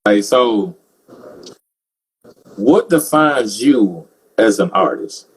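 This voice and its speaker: A man's voice: mid-range voice, expressive, very slow, husky, low-quality recording. A man hosting a casual interview who jokes and often repeats words as he starts his questions. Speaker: interviewer host.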